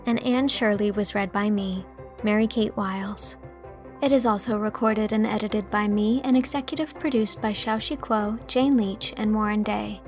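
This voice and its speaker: A woman's voice: mid-range voice, fairly even intonation, normal speed, a little mellow, faint music behind. A woman narrating an audiobook in a scripted storytelling style.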